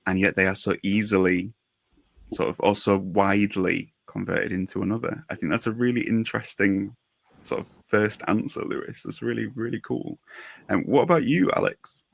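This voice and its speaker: A man's voice: on the low side, fairly even intonation, normal speed, vocal fry, no room sound. A man hosting a casual podcast interview, speaking effusively and full of compliments. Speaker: podcast host.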